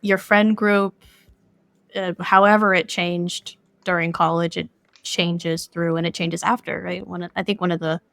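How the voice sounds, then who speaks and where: A woman's voice: on the low side, level tone, a little slow, gravelly, fairly bright tone, no echo. A woman speaking reflectively and conversationally as a guest game developer on a podcast. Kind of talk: podcast interview, casual reflection.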